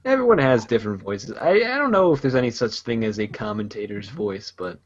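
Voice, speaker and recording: A man's voice: on the low side, very animated, brisk, highs cut off. A man casually chatting as a podcast host, rambling loosely and laughing often. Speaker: podcast host and gaming YouTuber. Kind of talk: casual chat.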